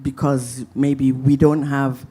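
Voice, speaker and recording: A man moderating a festival Q&A, a playwright himself, speaking in a measured, gently wry way. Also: mid-range voice, flat delivery, husky. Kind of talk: reflective panel talk.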